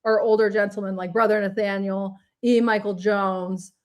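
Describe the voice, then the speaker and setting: A woman's voice: mid-range voice, steady tone, moderate pace, a little mellow. A woman co-hosting a casual political talk show, bubbly and enthusiastic, often laughing. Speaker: co-host.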